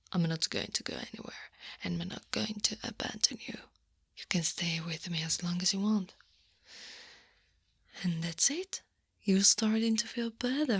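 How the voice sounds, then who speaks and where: A woman playing a knowing female Krampus monster in an intimate ASMR roleplay, firm yet tenderly comforting, in a soft voice that often drops to a whisper. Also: mid-range voice, husky, no echo, studio quality. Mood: tender, soothing. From Italy. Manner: slow, gentle, deliberate coaxing. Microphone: close-up microphone.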